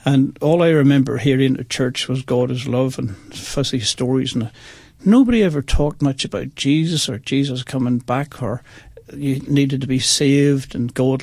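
A man's voice: mid-range voice, darkish tone, dry recording. Northern Irish man in his sixties. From Northern Ireland. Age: 60s. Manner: chatty, earnest, addresses listeners directly. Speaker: guest. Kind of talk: personal testimony, casual storytelling.

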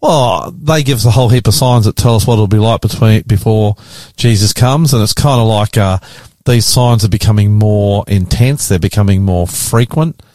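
A man's voice: fairly low pitch, normal speed, a little mellow, no echo. A man with an Australian accent hosting casual radio talk in a chatty, blokey manner. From Queensland, Australia. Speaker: radio host and pastor. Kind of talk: casual radio banter and discussion. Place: radio studio.

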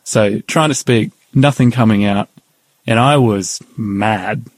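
A calm, soft-spoken man with a fairly low voice recounts a personal story to his interviewer, thoughtful and unhurried. The sound is dry and close, with no room echo. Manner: calm, thoughtful, with frequent "you know". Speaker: guest sharing a personal faith story. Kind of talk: reflective storytelling in conversation.

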